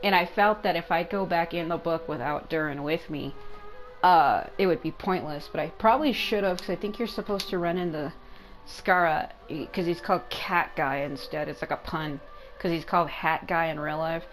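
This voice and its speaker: A woman's voice: on the low side, normal speed, faint music behind, low-quality recording. A woman giving casual, rambling, informal commentary as she hosts a game playthrough. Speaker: gaming video host.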